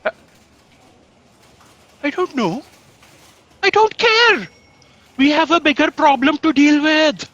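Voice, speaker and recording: Slow, earnest actress as long-suffering office aide. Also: female voice, sits high, sing-song, fairly bright tone, no room sound, muffled recording, exaggerated voice. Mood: exasperated, urgent. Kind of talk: comedic audio drama acting.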